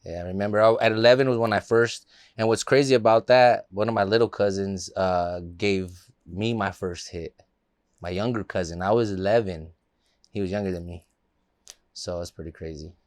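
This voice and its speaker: A man's voice: lowish voice, moderate pace, a little mellow, dry recording, studio quality. A man from the Los Angeles area, a guest in a casual interview, telling stories in a loose, laid-back way with plenty of fillers.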